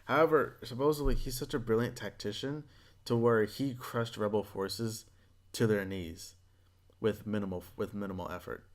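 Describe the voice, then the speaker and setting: A man hosting a casual podcast, retelling stories in a rambling, profane, animated way. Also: fairly low pitch, moderate pace. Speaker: podcast host.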